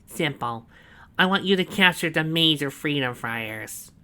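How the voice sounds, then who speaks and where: A sneering, scheming villain, as a man puts him on for a fan-read story, his voice pushed high and tight with gloating. He stretches his words out slowly and savors every threat. The tone is bright and sharp. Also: animated, smooth, heavily acted.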